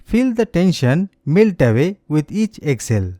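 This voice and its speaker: A man leading a slow guided meditation as an instructor, speaking in a gentle, soothing, scripted manner. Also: fairly high pitch, big pitch swings, no echo, clean studio sound.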